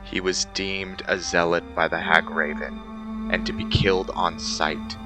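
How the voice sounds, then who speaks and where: Casting: male gaming host and narrator, low register, measured delivery, soft music behind. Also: level tone. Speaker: game playthrough host and narrator. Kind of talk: dramatic story narration, then informal commentary.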